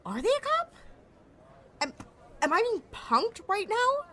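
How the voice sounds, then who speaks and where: A woman's voice: extremely high pitch, very animated, unhurried, bright, forceful tone, reverberant, in a large hall, heavily acted. A woman playing a cocky, sarcastic young pickpocket outlaw in a sci-fi audio roleplay, muttering wryly to herself. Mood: panicked, indignant. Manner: wry, sardonic, slangy, talking to herself.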